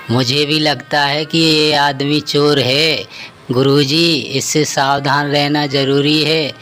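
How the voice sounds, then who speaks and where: A man voicing an earnest character in a devotional cartoon tale, speaking deliberately and respectfully. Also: fairly high pitch, pushed and bright. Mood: suspicious, puzzled. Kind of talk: cartoon story dialogue.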